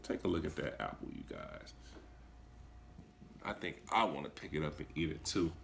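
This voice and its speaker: Animated man reading a children's story. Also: normal speed, gravelly, slight background noise. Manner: expressive storytelling with lively swings in pitch and volume; warm, encouraging talk to young children. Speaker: kindergarten teacher. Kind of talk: reading a picture book aloud to children. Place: small indoor room, seated on a couch.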